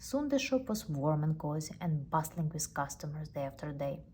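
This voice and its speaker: A woman reads a children's storybook with a non-native English accent. Her tone is mellow and very animated, with faint noise behind it. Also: deep voice, normal speed. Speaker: storybook reader. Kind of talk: expressive children's storybook narration.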